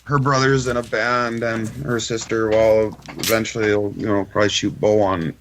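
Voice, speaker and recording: Male guest, middle-aged dad, lowish voice, informal conversational delivery. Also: level tone, moderate pace, fairly bright tone, a little hiss or hum, low-quality recording. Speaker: interview guest, father of young shooter. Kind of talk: casual chat.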